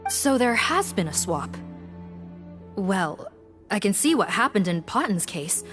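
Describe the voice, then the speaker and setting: A woman playing a brisk, skeptical investigator, matter-of-fact and pointed, in anime game style. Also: medium pitch. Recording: faint music behind.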